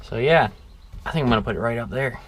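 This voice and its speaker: A man's voice: mid-range voice, expressive, fast talker, a bit bright. A man talking informally and thinking aloud as he works, in the style of a casual hands-on DIY vlog. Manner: loose, informal, thinking aloud while working. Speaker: vlogger restoring an old truck. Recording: clear background ambient noise.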